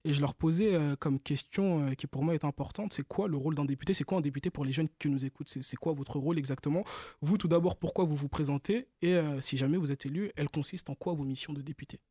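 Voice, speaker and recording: A man with a fairly high-pitched voice presents a political talk show. His style is casual and teasing as he introduces and questions people. The sound is very dry, with no room to it. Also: quick pace, mellow, husky, no echo. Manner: informal, playful, teasing.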